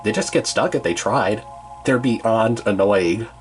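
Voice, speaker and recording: A fussy, talkative young satyr boy, voiced by a man reading aloud in a fairly low voice. Music plays behind the storybook. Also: measured pace, lightly acted.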